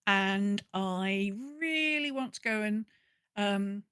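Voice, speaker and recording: A woman with a bright, forward voice talks casually as a podcast co-host. She rambles in an animated way, acting out her thoughts as she goes, and the recording is dry and close. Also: medium pitch, slow pace, smooth, no echo. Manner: animated, rambling storyteller who acts out her own thoughts. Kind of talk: casual chat about gaming.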